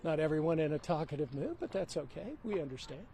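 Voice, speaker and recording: A man's voice: fairly high pitch, expressive, quick pace, creaky, mellow. A man who is a TV news anchor doing live street interviews outdoors in a city park, chatting and bantering easily with passers-by. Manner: chatty, jokey banter with strangers.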